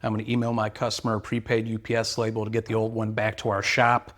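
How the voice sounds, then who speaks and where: Low-voiced company man, earnest. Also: completely flat delivery, fast, a bit bright. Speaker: battery company representative. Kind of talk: interview answers explaining products and service.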